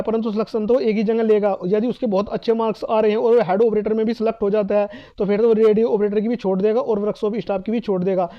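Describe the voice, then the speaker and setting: A man's voice: high-pitched, muffled recording. A man giving an informal explainer to exam candidates, emphatic, repeating his points and asking himself questions. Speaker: exam cutoff advice presenter.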